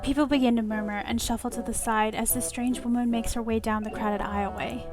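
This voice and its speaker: A woman reading a visual novel aloud as expressive first-person story narration. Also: a little high, brisk. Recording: music under the voice. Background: clear background music.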